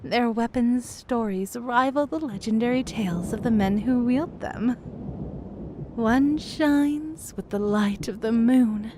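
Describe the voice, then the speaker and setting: A woman's voice: fairly high pitch, slow, a little mellow, slight background noise. A woman narrating a fantasy audio drama in a dramatic, teasing storyteller manner.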